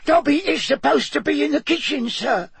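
A man voicing a small, earnest, frantic house elf servant in a storybook reading, squeaky, servile and urgent. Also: normal speed, a bit bright, no room sound, heavily acted. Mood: anxious. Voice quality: squeaky, husky. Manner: servile, urgent, refers to himself in third person.

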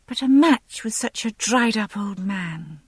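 A woman's voice: medium pitch, animated, measured pace, slightly rough, dry recording, pitched above the performer's own voice. A woman voicing a spoilt, headstrong aristocratic girl of seventeen in an audiobook, imperious, petulant and insistent. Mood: coy, needling.

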